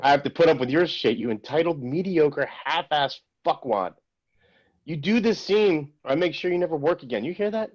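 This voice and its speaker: A man's voice: fairly high pitch, expressive, normal speed, muffled recording. An actor reading a smug, balding male showrunner in his fifties at a table read, mocking and bullying. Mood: furious.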